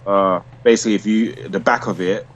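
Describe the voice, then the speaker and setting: A man's voice: lowish voice, fairly even intonation, fairly quick. A man co-hosting a podcast in casual, slangy chat with some swearing. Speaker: podcast co-host. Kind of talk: casual chat about comic book movies.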